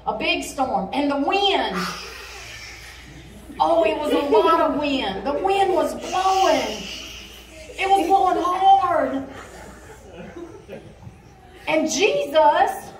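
A woman's voice: sits high, lively intonation, extremely slow, long pauses. A woman telling a Bible story to children in simple, repeated phrases, coaching them to respond, recorded from across a church sanctuary. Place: church sanctuary stage, indoors. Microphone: recorded by a distant audience camera. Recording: distant, echoey church hall, noisy room. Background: children and room noise.

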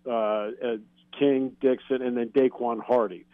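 An American man analyzes players as a guest on sports radio. His mid-pitched voice explains with emphasis. The sound is dry and band-limited, like a call-in line. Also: fairly even intonation, unhurried, no room sound. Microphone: remote call-in connection. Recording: narrow, dull phone-like call-in line.

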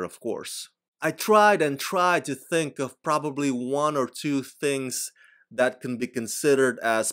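A man in his forties from Indonesia, whose first language is Indonesian, giving a blunt, emphatic scripted film review to camera from a small room on a desk microphone. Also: mid-range voice. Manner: blunt, emphatic, animated delivery. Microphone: desk microphone in front of him.

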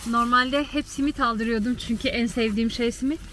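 Woman chatting casually, higher voice, noisy surroundings. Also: steady tone, a little fast, fairly bright tone. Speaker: travel vlogger. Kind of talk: casual chat while out on a trip. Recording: noisy outdoor and car ambience. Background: street and vehicle noise.

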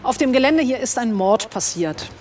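Female detective played by actress: high-pitched, commanding, sarcastic street talk for radio drama. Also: lively intonation, normal speed, darkish tone, a little hiss or hum, lightly acted. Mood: impatient. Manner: blunt, commanding, colloquial. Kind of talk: naturalistic radio drama acting.

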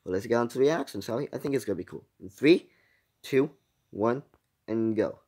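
Young male reaction host, casual delivery, highly varied intonation. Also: lively intonation, brisk, dark tone. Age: teenager or young adult. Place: small room at home.

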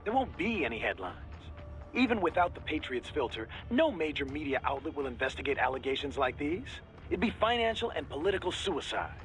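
An actor playing an exasperated, well-informed American military support operative in a video game cutscene. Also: male voice, fairly high pitch, very animated, fairly bright tone, slight background noise. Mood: informed, sardonic. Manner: brisk briefing, sardonic, exasperated. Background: game music.

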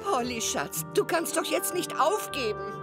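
A woman voicing a caring old grandmother in a cartoon, soothing and encouraging in an expressive way. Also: sits high, unhurried. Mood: earnest, encouraging. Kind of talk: German cartoon dub acting. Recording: music behind the voice.

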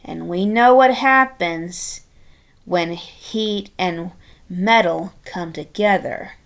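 A woman reading a children's picture book aloud expressively and deliberately, with chatty asides of her own. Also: lowish voice. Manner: expressive, deliberate, adds playful commentary. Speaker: storybook reader.

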